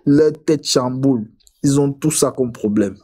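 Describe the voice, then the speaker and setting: A man from Cameroon with a dark, mellow voice talks through an online debate stream. He restarts his sentences, repeating words before he gets going. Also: mid-range voice, a little slow. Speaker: live debate participant. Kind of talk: casual online debate chat.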